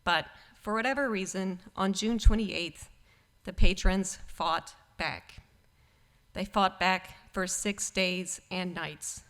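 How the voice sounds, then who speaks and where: Woman reading a measured church reflection. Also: medium pitch, a bit bright. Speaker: church service speaker. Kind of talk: prepared spoken reflection read to congregation.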